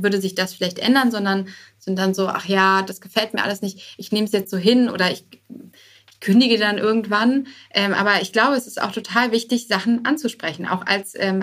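A woman's voice: mid-range voice, a bit bright. A woman talking casually as an interview guest, informal and sprinkled with English buzzwords. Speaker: podcast guest, start-up co-founder.

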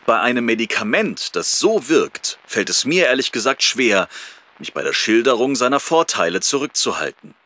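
A man's voice: mid-range voice, big pitch swings, normal speed, pushed and bright. An actor playing a male surgeon who looks back candidly on his illness in a reflective, emphatic audio drama monologue. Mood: candid, cautionary. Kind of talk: first-person audio drama monologue, read from memoir.

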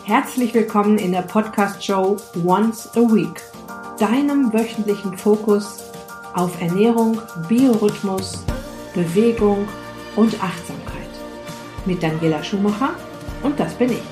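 A woman's voice: mid-range voice, unhurried, music in the background. A woman, hosting a podcast and interviewing a guest in an upbeat, chatty way. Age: 50s. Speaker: podcast host.

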